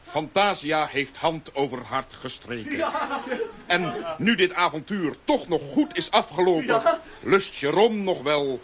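A man's voice: on the high side, lively intonation, unhurried, a bit bright. A man in a children's radio play voicing a blunt, good-hearted strongman who talks in clipped phrases. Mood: assured. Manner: clipped, article-dropping phrases.

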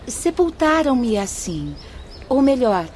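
A woman playing a gracious, delicate fifteen-year-old aristocratic spirit girl in a radio drama, gentle and formal. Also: sits high, very animated, slow, slight background noise, heavily acted. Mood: gentle, wistful.

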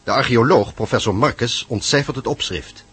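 A man giving a deliberate, dramatic scripted narration for a radio serial. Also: medium pitch, a bit bright, breathy edge, no echo, faint music behind.

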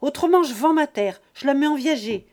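A man's voice: extremely high pitch, lively intonation, brisk, a little mellow, pitched above the performer's own voice. A woman reading aloud and voicing an old, domineering peasant father who roars threats at his children. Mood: furious. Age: older. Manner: roaring, threatening.

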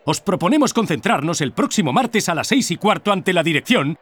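A man's voice: high-pitched, very animated, a little fast, fairly bright tone, husky, exaggerated voice. An actor playing a fiery union worker who rallies his comrades at an assembly, with a Spanish accent, for a radio drama. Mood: rousing.

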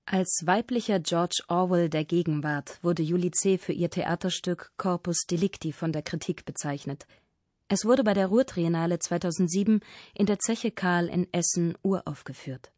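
A woman's voice: lowish voice, moderate pace, darkish tone, dry recording, clean studio sound. A woman reading scripted narration as the narrator of a radio feature.

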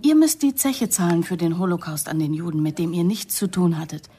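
An actress playing a defiant, sarcastic young woman in a radio drama, with a husky voice and a challenging, emotional manner. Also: fairly low pitch, brisk, warm tone. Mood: earnest, fervent.

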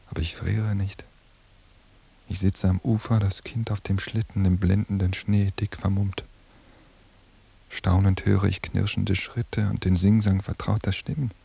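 A haunted surveillance cameraman in a radio drama, lost in melancholy inner monologue. The voice is low, dark and gravelly, with a hushed, introspective delivery. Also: little pitch movement, a little slow, pauses often, heavily acted. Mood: dreamy, haunted.

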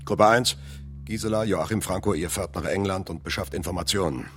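A commanding group leader in a radio play, very deep and steady, laying out priorities point by point. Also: male voice, brisk. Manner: firm, orderly, commanding.

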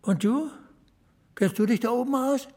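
A man playing a blunt, commanding leader of a small-town men's club, dry and matter-of-fact, with a husky, gravelly voice in an audio drama. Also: extremely high pitch, normal speed, a little mellow. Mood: brisk, directing. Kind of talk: naturalistic German audio drama acting.

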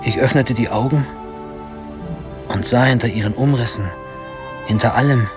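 A teenage boy tells his own story in a radio play, voiced by a man. His voice is mid-pitched, his delivery wry and dramatic, with faint music underneath. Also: moderate pace, close to an everyday voice. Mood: wry suspense. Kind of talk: first-person radio drama narration.

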